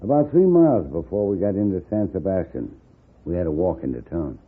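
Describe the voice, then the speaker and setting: A man's voice: deep voice, very animated, brisk, breathy edge, heavily acted. An actor playing a plainspoken ranch foreman, in 1950s Western radio style. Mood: urgent, matter-of-fact. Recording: narrow-band 1950s radio recording.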